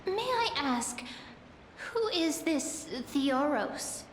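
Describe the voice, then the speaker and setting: A woman's voice: sits high, very animated, very slow, slight background noise, heavily acted. An actress playing a cautious, curious and sly young woman traveler in a video game, with an inquisitive, teasing manner. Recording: echoey hall reverb over music.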